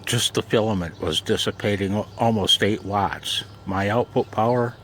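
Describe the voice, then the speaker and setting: Low gravelly guy rambling casually, kinda self-deprecating, outside with some background noise. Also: moderate pace, a bit bright. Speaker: amateur radio vlogger. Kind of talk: casual chat. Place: outdoors in a backyard garden and deck.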